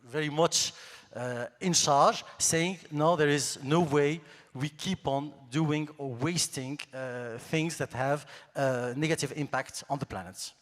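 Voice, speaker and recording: A man's voice: a little high, slow pace, big-room sound. A man in his fifties, born in Nigeria and raised in Greece, gives an earnest, step-by-step talk to students about his career as a luxury executive. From born in Nigeria, grew up in Greece. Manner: earnest, methodical, lists facts step by step. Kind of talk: conference presentation. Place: large conference hall.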